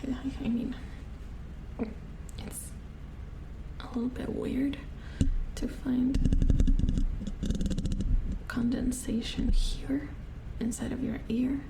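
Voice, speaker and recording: A woman playing an attentive, mildly worried robot repair engineer in a soft-spoken ASMR roleplay, murmuring hesitantly in a breathy whisper as she works. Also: high voice, little pitch movement, takes it slowly, long pauses, full character voice, poor audio. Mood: worried, puzzled.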